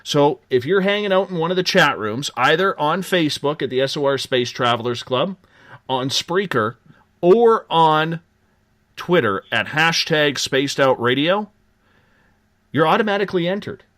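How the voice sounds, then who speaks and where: A man's voice: a little high, expressive, normal speed, a bit bright, no echo, clean studio sound. Upbeat man hosting radio. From Canada. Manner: upbeat, joking promotional patter. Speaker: radio show host. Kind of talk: live late-night radio talk.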